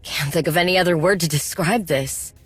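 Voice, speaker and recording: A proud warrior mechanic in a video game. She talks in a practical, guarded way with a wry edge. Music sits softly underneath. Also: on the low side, brisk, breathy edge. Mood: guarded concern. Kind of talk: video game cutscene acting.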